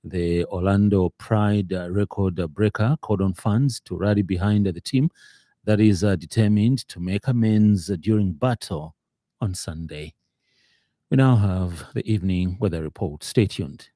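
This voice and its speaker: Mellow low-voiced Zambian male newsreader. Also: moderate pace, no room sound. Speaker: radio news anchor. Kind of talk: scripted news bulletin reading. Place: radio studio.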